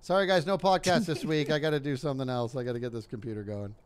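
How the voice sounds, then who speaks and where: A man's voice: medium pitch, fairly even intonation, fast, clean tone. A man streaming a game, chatting casually with rambling restarts and frustrated muttering. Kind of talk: casual gaming stream chat.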